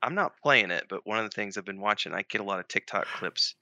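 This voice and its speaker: A man's voice: lowish voice, animated, fast, pushed and bright, no room sound. A man in casual chat who retells things he has seen, saying "like" a lot. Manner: talkative, retells clips with lots of "like".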